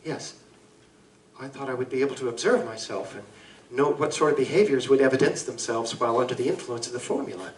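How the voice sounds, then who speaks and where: A man playing an anguished Victorian gentleman scientist in a live audio drama, speaking formally, eloquently and deliberately. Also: mid-range voice, slight background noise, close to an everyday voice. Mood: earnest, scholarly. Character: anguished gentleman scientist confessing to a monstrous alter ego. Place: live convention performance in a room. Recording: roomy live stage recording, distant mic.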